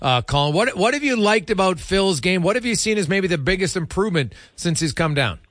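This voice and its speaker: A man's voice: high voice, lively intonation, a little fast, a bit bright, dry recording, studio quality. A man hosting a casual sports radio interview, asking long, conversational questions.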